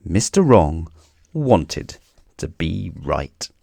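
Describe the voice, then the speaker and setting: A man reading a children's story aloud in an expressive narrating style. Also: sits low, drawn-out words, creaky, dry recording, clean studio sound.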